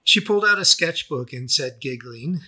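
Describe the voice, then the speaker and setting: A man reading a scripted first-person memoir as its narrator, with a husky voice. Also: on the high side, animated, normal speed, pushed and bright. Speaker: author and narrator.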